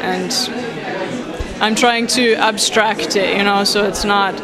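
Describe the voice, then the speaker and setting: A painter in a busy art fair hall, searching slowly for words while describing their work. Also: mid-range voice, fairly even intonation. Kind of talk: interview answers. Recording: crowd chatter in a large hall.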